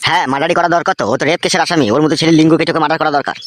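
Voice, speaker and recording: A man's voice: on the high side, fairly even intonation, racing, dry recording, studio quality, natural voice. A man voicing a hot-headed, threatening young village tough in a comic cartoon, with a rural West Bengal accent. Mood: impatient, violent. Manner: impatient, threatening.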